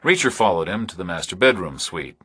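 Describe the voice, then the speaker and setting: A man reading scripted thriller narration as an audiobook narrator, in measured, terse phrases. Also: sits low, big pitch swings, pushed and bright, husky, no room sound, studio quality.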